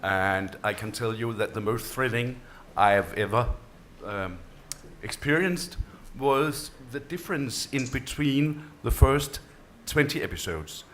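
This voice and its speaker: A Danish man speaks in careful English that is not his first language. He lays each point down deliberately and leans hard on the key words. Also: mid-range voice, a bit bright, a little hiss or hum. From Denmark. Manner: deliberate, emphatic, with unusual word choices. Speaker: panelist, former television drama chief. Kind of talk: panel Q&A answer. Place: on stage before an audience.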